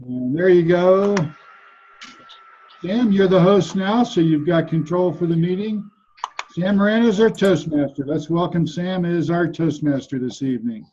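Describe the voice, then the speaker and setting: A man handing over control of an online meeting, slow and a little unsure of the controls. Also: high voice, warm tone, muffled recording, a little hiss or hum. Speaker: meeting organizer. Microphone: video call.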